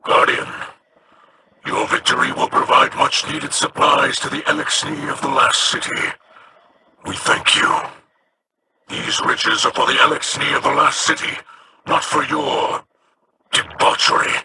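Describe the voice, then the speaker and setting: A man voicing an honorable alien captain in a video game, speaking formally and sternly in a rasping growl. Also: mid-range voice, flat delivery, measured pace, long pauses, noisy background, close to an everyday voice. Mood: grateful, solemn. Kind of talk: video game character dialogue.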